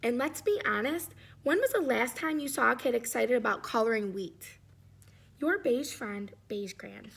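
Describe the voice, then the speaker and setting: A woman's voice: a little high, animated, moderate pace, gravelly, a bit bright, breathy edge, heavily acted. A woman voicing a little beige crayon in a storybook, proud but slighted, aggrieved and insistent. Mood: aggrieved pride.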